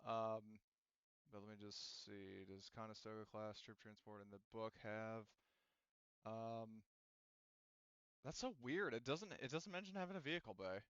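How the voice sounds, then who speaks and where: Clear-voiced man running a tabletop game. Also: fairly low pitch, a bit bright, no echo, dull audio. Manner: halting, thinking aloud. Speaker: game master. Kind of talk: casual tabletop game narration.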